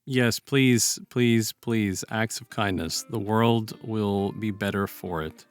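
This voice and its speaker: A man in a casual, reflective podcast discussion, speaking haltingly and repeating words as he builds a point. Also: lowish voice, clean tone, soft background music.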